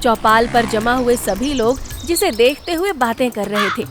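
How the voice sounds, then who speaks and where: A woman's voice: a little high, expressive, normal speed, over music. A woman narrating a scripted comic story for a cartoon, in the role of storyteller.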